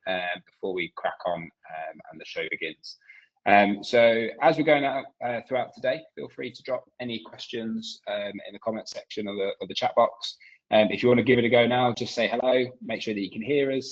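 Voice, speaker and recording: A man's voice: lowish voice, breathy edge. A man opening a webinar over a video call, chatty and loose, with plenty of ums. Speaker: webinar co-host. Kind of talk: casual webinar housekeeping. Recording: dull lo-fi web call audio.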